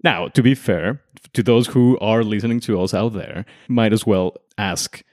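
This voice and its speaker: A young man from Mexico hosting a conversational explainer, making his case with lively rhetorical questions. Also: on the low side, moderate pace, dry recording, studio quality. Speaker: podcast host.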